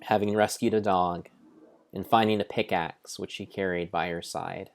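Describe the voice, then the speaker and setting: A man giving an improvised dramatic narration of a game like an adventure storybook, with deliberate, drawn-out phrasing. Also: low voice, fairly even intonation, gravelly, a little hiss or hum.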